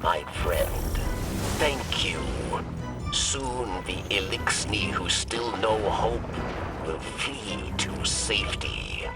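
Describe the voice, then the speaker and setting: An actor playing a solemn, earnest alien rebel leader in a video game, growling in halting, formal phrases. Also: male voice, on the low side, far from the mic, loud music behind the voice. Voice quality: gravelly growl. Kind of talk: video game character dialogue.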